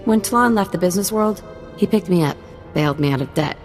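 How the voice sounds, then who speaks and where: An actress playing a harried but loyal young servant in a video game, speaking in an informal, casual way. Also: female voice, lowish voice, animated, a little fast, a little mellow, close to an everyday voice. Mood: wry, relaxed. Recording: reverberant, faint music behind.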